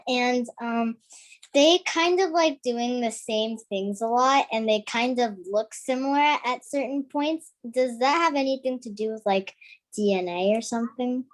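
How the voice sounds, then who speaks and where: A young female participant asking a question hesitantly over a video call, with many fillers. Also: high-pitched.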